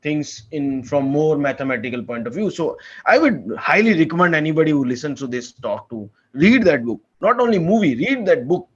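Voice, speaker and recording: Earnest young man, slightly raised pitch. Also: expressive, fairly quick, hardly any pauses, slightly rough, muffled recording. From India. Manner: earnest, enthusiastic, rambling with restarts. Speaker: podcast guest, PhD student. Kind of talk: casual conversational interview.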